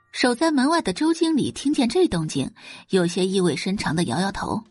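A woman reads dramatic fiction as a storyteller, with a very varied melody. Her voice is slightly husky and sits in a roomy sound. Soft music plays behind her. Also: mid-range voice. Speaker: audiobook narrator. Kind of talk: scripted novel narration, dramatic audio fiction. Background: faint background music.

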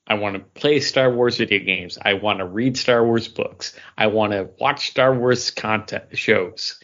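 A man with a fairly low voice hosts a relaxed chat among friends. He talks like an enthusiastic fan. The audio is a little dull and lo-fi. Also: measured pace. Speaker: podcast host.

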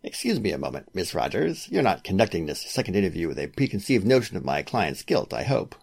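A man playing a protective, wary defense attorney in a 1940s radio drama, husky and formal in his guarded speech. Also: low-pitched, sing-song, fairly quick, darkish tone, full character voice. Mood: guarded, courteous.

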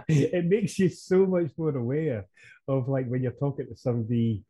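Dark husky Scottish man chatting. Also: medium pitch, a little slow, dry recording, dull audio. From Scotland. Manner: rambling, chatty, laughs easily. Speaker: podcast co-host. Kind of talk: casual chat.

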